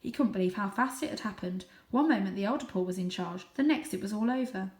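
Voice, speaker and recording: A woman reading a children's story aloud with expressive narration, recorded in an echoey room. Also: medium pitch, quick pace, distant mic. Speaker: storybook reader.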